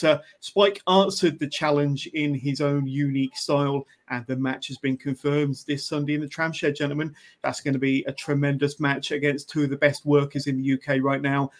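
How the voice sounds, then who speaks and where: Relaxed podcast host, slightly high voice. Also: male voice. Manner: relaxed, with frequent "uh" pauses. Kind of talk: casual hype-show chat.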